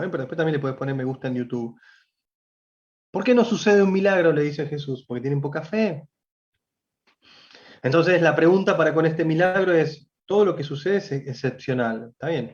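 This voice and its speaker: A man's voice: mid-range voice, a little mellow, highs cut off. A man in his forties with a Rioplatense Spanish accent, teaching from the Gospel in a livestreamed sermon, speaking conversationally and addressing listeners directly. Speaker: preacher.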